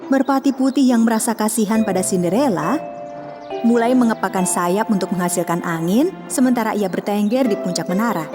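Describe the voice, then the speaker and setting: A woman narrating a children's cartoon fairy tale in a calm, measured storytelling voice. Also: mid-range voice. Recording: long reverb with music behind.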